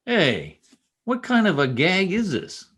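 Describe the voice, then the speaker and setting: A man's voice: sits high, wildly swooping pitch, takes it slowly, fairly bright tone, husky, full character voice. A man playing an exasperated, wisecracking newspaper reporter in an old-time radio comedy. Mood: indignant, flustered. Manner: wisecracking, slangy, indignant.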